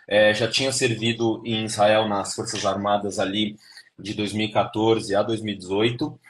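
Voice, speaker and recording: A man's voice: on the low side, little pitch movement, normal speed. A young man from São Paulo with a São Paulo accent, a guest on a live stream, explaining steadily in a lecturing way. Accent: São Paulo Portuguese. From Brazil, São Paulo. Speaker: interview guest.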